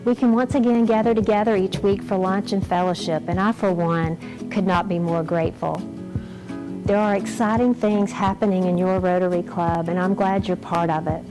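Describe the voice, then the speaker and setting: A woman's voice: mid-range voice, rough recording. A woman speaking as a club president and host, delivering a welcome address and announcements to members.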